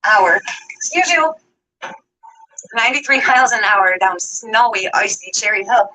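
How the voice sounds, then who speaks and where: A woman's voice: mid-range voice, measured pace, sharp tone, low-quality recording. A woman telling a long personal story, rambling and adding details as she goes. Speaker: vlogger telling her story.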